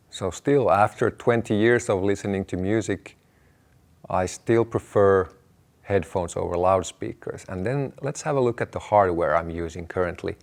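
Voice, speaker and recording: A man hosting an explanatory video, talking to viewers in a measured, deliberate way, laying out his points in short phrases. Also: deep voice, gravelly, a little mellow. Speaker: host of an audio hobby video channel.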